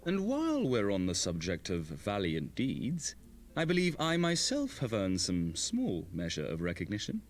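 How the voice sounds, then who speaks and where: A man's voice: on the low side, wildly swooping pitch, moderate pace. An actor playing a pompous, vain young nobleman in a fantasy game, with a theatrical manner. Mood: boastful.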